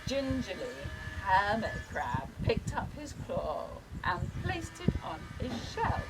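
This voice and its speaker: A woman storyteller reading a picture book aloud, with deliberate, dramatic phrasing for young children. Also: mid-range voice, wildly swooping pitch, heavy background noise.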